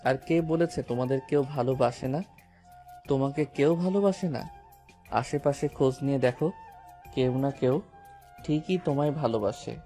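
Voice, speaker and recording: A man's voice: mid-range voice, normal speed, long pauses, warm tone, lightly acted. A man acting a supportive, protective young lover in an audio story, speaking in an earnest, reassuring way. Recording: music behind the voice.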